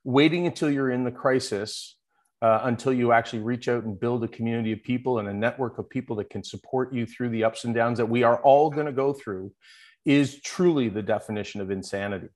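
A man's voice: mid-range voice, fairly quick. A man being interviewed as a guest, speaking in an earnest, upbeat way over a remote call. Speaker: guest, founder of a connection project. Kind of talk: interview conversation.

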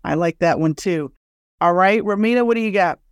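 A woman's voice: on the low side, a little fast, no echo, clean studio sound. A woman hosting a podcast, moderating a panel in a conversational way. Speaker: podcast host.

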